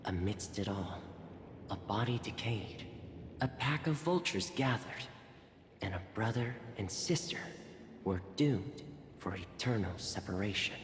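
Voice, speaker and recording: An actor narrating a game story as a solemn, grave chronicler, with measured and portentous delivery. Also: male voice, lowish voice, expressive. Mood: grave, ominous. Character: solemn storyteller chronicling a fallen dream world. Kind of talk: dramatic scripted narration. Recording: roomy long reverb, clear noise.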